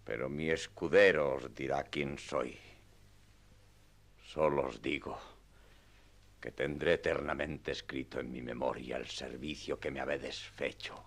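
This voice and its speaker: A man playing a pompous, courtly old knight errant in radio theatre, gravelly and husky, speaking solemn archaic phrases. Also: a bass voice, steady tone, unhurried, a bit bright, exaggerated voice. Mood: solemn gratitude. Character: grandiloquent, courtly old self-styled knight errant, lovestruck.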